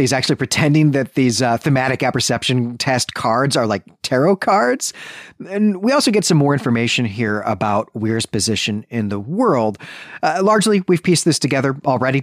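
A man's voice: medium pitch, no room sound, clean studio sound. A man hosting a literary podcast, recapping and discussing in an enthusiastic, emphatic way. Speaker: podcast host.

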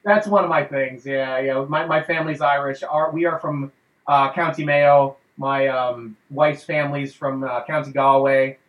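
A man's voice: mid-range voice, steady tone, normal speed, muffled recording. A man hosting a casual fan show, rambling with lots of fillers and laughing often. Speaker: podcast host. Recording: roomy echo.

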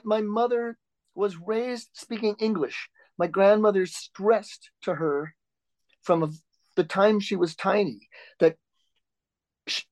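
A man talking through his answers in an interview, pausing and restarting as he finds his words. His voice sits a little high. It is recorded close up in a dry space with almost no room sound. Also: a little mellow, dry recording, rough recording. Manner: halting, with frequent restarts and filler words. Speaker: author and interview guest.

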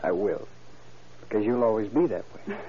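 An actor playing a devoted, easygoing young fiancé in a fond, boyish 1930s radio style. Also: male voice, lowish voice, big pitch swings, normal speed, warm tone, husky. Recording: muffled, noisy old radio recording.

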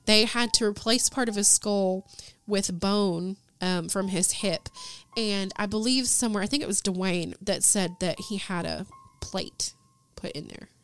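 An American woman narrates a true-crime story as a podcast host. She speaks informally and emphatically in a medium-pitched voice. Faint music plays behind her. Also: unhurried, fairly bright tone, clear, resonant tone.

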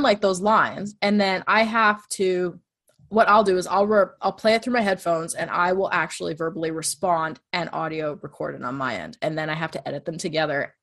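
A woman with a low-registered voice, chatting casually as she walks through her podcast process step by step. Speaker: true crime podcast host. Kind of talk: casual conversation.

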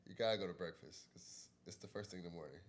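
A man's voice: sits low, fast talker, a little hiss or hum. A man in his late twenties talking casually as an interview guest, laid-back, with Southern slang, about married life. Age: late 20s. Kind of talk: casual chat.

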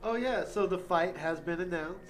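A man's voice: high voice, moderate pace, a little hiss or hum, poor audio. A man chatting on a podcast in an easygoing, playful way. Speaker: podcast co-host. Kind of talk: casual chat.

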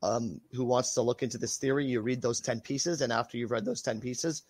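A man speaking as a researcher guest in a casual interview, his voice raspy from being sick, hesitant with many fillers. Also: medium pitch, fairly quick, a little mellow, no room sound.

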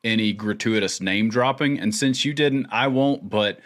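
A male podcast host with a somewhat low voice. He chats casually, jokes easily and restarts his sentences often. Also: normal speed. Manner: relaxed, joking, many restarts. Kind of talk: casual video podcast chat.

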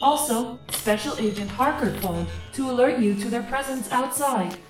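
Woman acting as AI household assistant, deadpan, precise, formal, echoing and set back from the mic, music behind, sci-fi audio drama. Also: on the high side, normal speed, natural voice. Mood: formal, neutral. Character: literal-minded female computer assistant managing a home and office. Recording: roomy, distant echo, music underneath.

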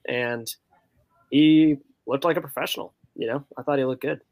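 A man's voice: medium pitch, fairly even intonation, normal speed, darkish tone, no room sound. A man chatting casually about sports as a panelist on a podcast.

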